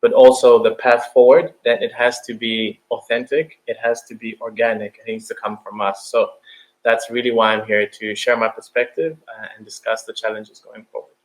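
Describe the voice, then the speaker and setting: A man from Uganda speaks as a panel guest. His voice sits at a medium pitch and moves very little up or down. The delivery is earnest and conversational. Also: flat delivery, normal speed, poor audio.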